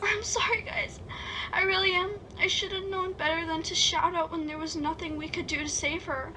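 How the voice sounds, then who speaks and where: A woman performs a gentle young heroine with a very high voice. She is earnest, hopeful and easily worried, pleading like a character in a hobby audio drama. The recording has a noticeable noisy rumble. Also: fairly even intonation, normal speed. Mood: apologetic, earnest. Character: gentle, hopeful, anxious young female named Melody. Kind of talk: amateur script-read voice acting. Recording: noisy home microphone with rumbling hiss.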